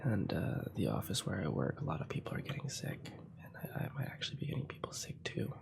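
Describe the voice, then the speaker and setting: A young man with a cold, quietly commenting on his gameplay in a soft ASMR style, often slipping into whispers. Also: deep voice, sing-song, fast, gravelly. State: has a cold. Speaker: ASMR gaming channel host.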